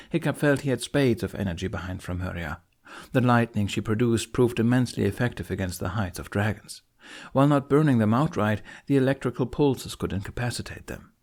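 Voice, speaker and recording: A man's voice: medium pitch, a little mellow. A man reading a fantasy audiobook as narrator, in dramatic scripted narration.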